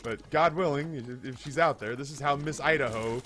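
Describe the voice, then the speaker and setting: A man, telling a comedic story casually in an animated, hyperbolic, profane way as a streamer. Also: mid-range voice, moderate pace. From United States. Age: 30s. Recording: music behind the voice. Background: background music.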